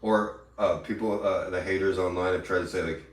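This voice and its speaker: A man livestreaming an angry, profane rant that taunts his critics, now and then mimicking them in a shrill mocking voice. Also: fairly even intonation, a little fast. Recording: echoey room, voice from far off the mic.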